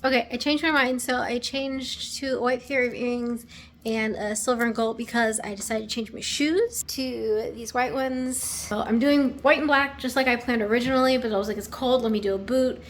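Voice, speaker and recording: Young woman, slightly raspy, higher voice, rambling vlog chat, whispered asides, at home. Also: steady tone, normal speed, a little hiss or hum. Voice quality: a little raspy. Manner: rambling, thinks aloud with many fillers and whispered asides. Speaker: vlogger. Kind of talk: casual chat to camera.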